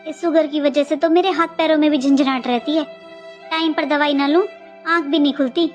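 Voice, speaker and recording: Lady doing worried old indian mom in a cartoon skit, really high voice, plaintive, music under it. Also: fairly even intonation, fairly quick, lightly acted. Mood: weary, plaintive. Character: worried, complaining elderly Indian mother and mother-in-law. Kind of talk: cartoon family skit dialogue.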